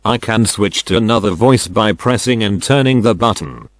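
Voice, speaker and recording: An older man narrates instructions as a non-native speaker of English. His voice sits fairly low and he explains each step plainly and deliberately. The sound is close and dry. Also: no room sound. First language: not English. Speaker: product demonstrator.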